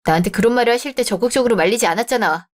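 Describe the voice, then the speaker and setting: A woman voicing a young bride-to-be in a radio drama, polite and measured but firm. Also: on the high side, close to an everyday voice.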